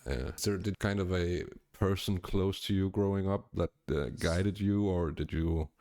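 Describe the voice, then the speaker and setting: A man in his early thirties hosting an interview, relaxed and laid-back, often ending phrases with "man". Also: low-pitched, moderate pace, no room sound, studio quality. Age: 30s. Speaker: podcast host. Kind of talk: casual interview chat.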